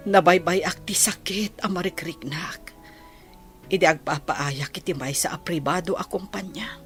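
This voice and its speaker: A woman playing a poor, blind, long-suffering mother in a radio drama, speaking softly and with resignation. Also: on the low side, moderate pace, faint music behind, close to an everyday voice. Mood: resigned. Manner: soft, resigned, apologetic.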